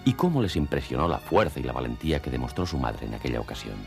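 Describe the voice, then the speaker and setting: A male actor as the solemn storyteller of a children's cartoon, narrating from a script in a deep, gravelly voice. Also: very animated, fast talker, faint music behind, heavily acted. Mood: solemn storytelling.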